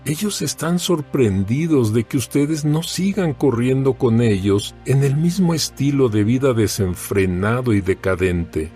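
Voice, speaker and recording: A man giving a solemn, measured, dramatized reading of scripture as an audio Bible narrator. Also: medium pitch, mellow. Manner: solemn, measured, deliberate phrasing. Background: background music.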